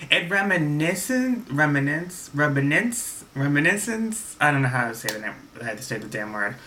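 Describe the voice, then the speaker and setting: A man's voice: mid-range voice, pushed and bright, slight background noise. A young man from Staten Island, telling a rambling casual story to camera and restarting his sentences often. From Staten Island, New York. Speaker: vlogger.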